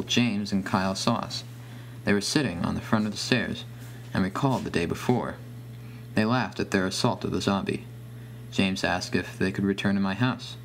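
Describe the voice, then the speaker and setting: A man's voice: low voice, vocal fry, a little hiss or hum, poor audio. A man reading a scripted first-person horror story as its narrator.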